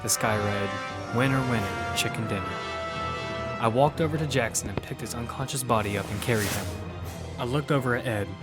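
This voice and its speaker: A man's voice: mid-range voice, fairly quick. A man earnestly narrating a dramatic first-person action story in scripted audio fiction. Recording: loud music bed behind the voice. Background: loud music.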